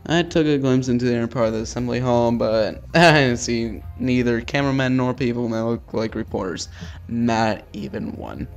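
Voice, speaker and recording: A man's voice: medium pitch, normal speed, creaky. Man reading a game aloud, music behind. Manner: stumbles over names, offhand swearing. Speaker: let's play host. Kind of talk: casual read-aloud of a visual novel with asides.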